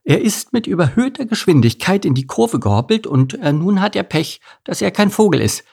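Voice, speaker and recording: Little female thrush, done by a male reader: pitched well up, earnest chatterbox from a children's tale. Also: big pitch swings, normal speed, creaky, darkish tone, slightly rough, exaggerated voice. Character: high-pitched earnest female thrush, small talkative bird. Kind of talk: children's storybook narration.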